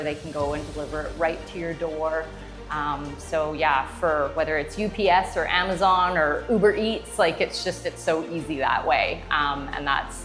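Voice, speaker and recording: A woman giving a customer testimonial in a casual, explanatory, conversational interview style. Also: low voice. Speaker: customer giving a testimonial, strata council member. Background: background music.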